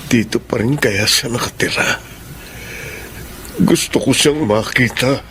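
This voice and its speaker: A man's voice: medium pitch, a little slow, lightly acted. An actor with a Filipino accent playing a humble, earnest, emotional father who works as a driver in a radio drama. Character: humble grieving father working as a family driver. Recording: noisy radio drama recording.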